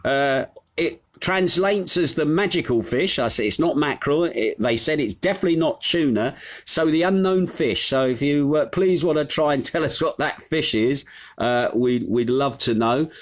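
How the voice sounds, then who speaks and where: A man's voice: fairly high pitch, lively intonation, moderate pace. A middle-aged man from the United Kingdom hosting a live stream from his home, chatting casually and reading out viewers' names with plenty of fillers. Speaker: host of a live news stream. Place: his home on a Greek island.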